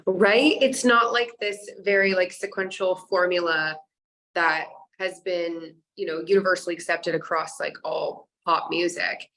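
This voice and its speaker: A young woman from Kansas, a singer chatting casually in an interview, bubbly and quick to laugh, with lots of likes and you-knows. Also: lowish voice, fairly even intonation, pushed and bright, rough recording. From Kansas, USA. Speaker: singer guest.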